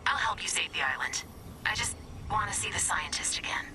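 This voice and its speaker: A woman's voice: lowish voice, lively intonation, brisk, background noise, lightly acted. An actress voicing a sarcastic female robot from a video game, with a dry, sardonic delivery. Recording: distant voice over loud game music. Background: game music.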